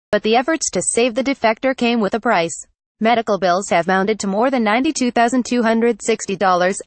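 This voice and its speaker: Female news narrator, scripted read, mid-range pitch, dry close recording with no room sound. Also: dry recording.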